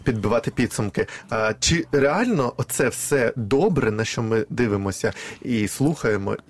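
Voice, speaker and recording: A man with a medium-pitched voice hosts a conversational radio health show. He sounds upbeat and encouraging as he talks with his guest and addresses listeners. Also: expressive, normal speed. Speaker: radio host.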